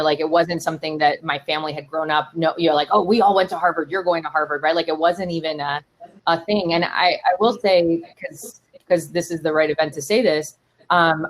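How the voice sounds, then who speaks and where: A woman in her thirties from Miami whose first language is Spanish, telling her story in a casual interview with lots of fillers and animated asides. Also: low-pitched. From Miami, Florida. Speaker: startup CEO and keynote guest. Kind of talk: conversational interview.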